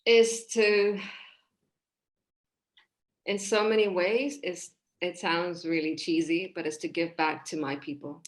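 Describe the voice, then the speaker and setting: A woman in her thirties from Hong Kong, a relationship coach talking in a casual podcast interview over a video call, expressive and gesturing as she speaks. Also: on the low side, slow, pauses often. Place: small room indoors.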